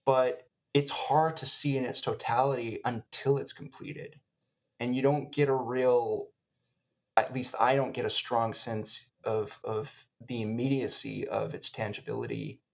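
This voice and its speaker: A man hosting a conversational podcast, speaking in a wordy, halting, reflective way. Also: medium pitch, steady tone, gravelly, lo-fi sound. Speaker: podcast host.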